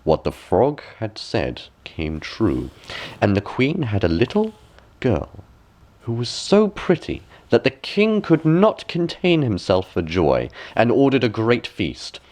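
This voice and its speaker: A man narrating a bedtime fairy tale as a storyteller, with expressive, measured and deliberate phrasing. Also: mid-range voice. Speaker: storyteller, audiobook reader.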